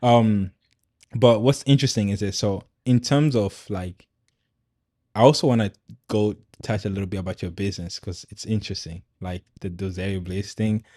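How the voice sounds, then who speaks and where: A man who speaks Swahili natively, hosting a podcast in a loose, halting, conversational way. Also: lowish voice, fairly even intonation, a little mellow, dry recording, studio quality. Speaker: podcast host. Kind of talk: casual conversational podcast chat.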